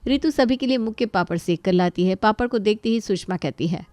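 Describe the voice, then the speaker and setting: A woman's voice: mid-range voice, brisk, a little mellow, no echo. A woman narrating a scripted family story as its storyteller.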